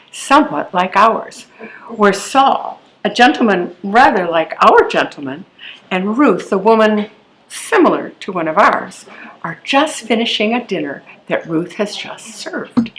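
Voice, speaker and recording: An older woman reads a scripted introduction as presenter of a stage show. Her voice sits at a middle pitch, with a clearly audible audience around her. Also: poor audio. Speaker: presenter of a readers theatre show.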